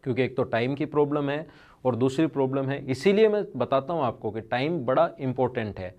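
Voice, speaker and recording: A man's voice: medium pitch, animated, fairly quick, muffled recording. A male maths teacher with a strained throat, speaking earnestly and directly to his students in an online video lesson.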